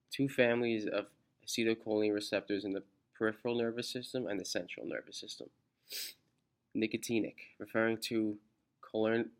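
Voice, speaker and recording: A congested man reads aloud from a study outline in a low, level voice with little rise and fall. He trips on technical terms and restarts them, keeping the same steady, monotone delivery throughout. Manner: halting, restarts on technical words. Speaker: student presenter.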